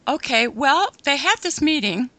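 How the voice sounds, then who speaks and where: Bright-voiced woman radio host. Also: high-pitched, sing-song, no echo. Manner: measured, halting with many fillers. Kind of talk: unscripted radio interview.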